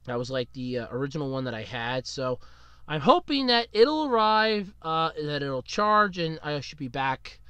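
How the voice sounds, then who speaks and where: A man talking through a solo podcast in a rambling, casual way, still getting over a cold. His voice is bright and smooth, and it jumps around a lot in pitch. The sound is close and dry, with no room around it. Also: very animated, moderate pace, no echo. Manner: rambling, chatty, swings up and down in pitch. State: recovering from a cold. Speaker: podcast host.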